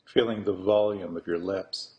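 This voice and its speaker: A man guiding a meditation as a teacher, speaking slowly and deliberately, with long pauses between phrases. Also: sits low, fairly even intonation, a little mellow. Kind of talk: guided meditation instruction.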